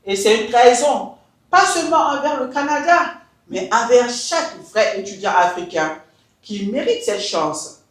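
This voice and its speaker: A woman from Mali, an education consultant, giving an emphatic, deliberate scripted talk straight to her listeners. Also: a little high, expressive. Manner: emphatic, deliberate, switches into English. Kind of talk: scripted address to camera. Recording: very echoey room.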